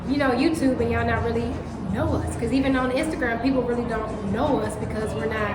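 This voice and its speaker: A woman chats casually on camera, her voice sitting high. She drawls and laughs often. The room is echoey and a loud steady hiss sits under everything. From Kentucky, USA. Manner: playful, laughs often, drawn-out phrases. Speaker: co-host, model. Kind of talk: casual chat.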